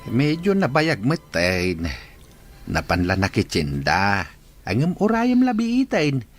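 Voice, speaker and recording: A man's voice: medium pitch, huge pitch swings, takes it slowly. A man with a Filipino accent playing a kindly, homely older father in a radio drama. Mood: homely, welcoming.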